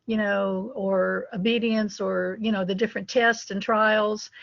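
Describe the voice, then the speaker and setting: A woman's voice: medium pitch, fairly even intonation, a little slow, gravelly, no echo, highs cut off. A middle-aged woman, a dog breeder, telling anecdotes casually in an interview. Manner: chatty, anecdotal, laughs between stories.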